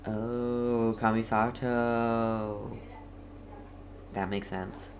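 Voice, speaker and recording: Low-pitched, unhurried woman playing a game. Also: little pitch movement, darkish tone. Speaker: let's player reacting to a game. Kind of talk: casual gameplay commentary.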